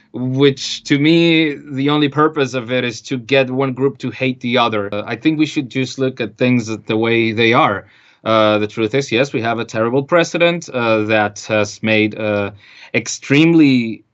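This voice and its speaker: A man's voice: mid-range voice, muffled recording. Frank man. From Venezuela. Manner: earnest, frank, with fillers and restarts. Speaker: guest, music producer and DJ. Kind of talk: interview answers and profile voice-over.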